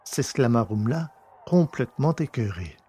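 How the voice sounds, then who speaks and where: Slightly husky male audiobook narrator. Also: medium pitch, expressive, normal speed, dark tone. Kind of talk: scripted dramatic narration of a science-fiction adventure.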